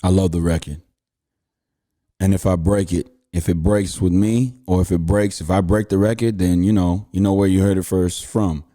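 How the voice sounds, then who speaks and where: A man's voice: low voice, steady tone, moderate pace, mellow, dry recording, clean studio sound. A man, hosting a podcast and telling a story in a laid-back, repetitive way that hypes the listener. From Houston, Texas. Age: 30s. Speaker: podcast host. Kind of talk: casual storytelling.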